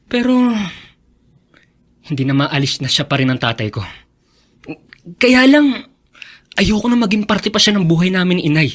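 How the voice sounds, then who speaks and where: High-voiced, earnest young Filipino son. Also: male voice, lively intonation, moderate pace, long pauses, a bit bright. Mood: firm, hurt. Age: young adult. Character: caring, earnest young college son, quietly hurt. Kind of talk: Filipino radio drama acting.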